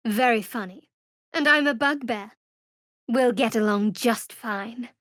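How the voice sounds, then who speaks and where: A woman playing a guarded young half-elf cleric in a fantasy video game, with a dry, sardonic, measured delivery. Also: fairly high pitch, fairly bright tone, no echo.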